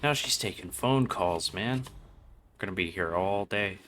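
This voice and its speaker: Guy livestreaming a game, kinda low voice but bright n sharp, muttering slangy stuff to chat, swears a bit. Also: a little slow, a little hiss or hum. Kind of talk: casual live gameplay commentary.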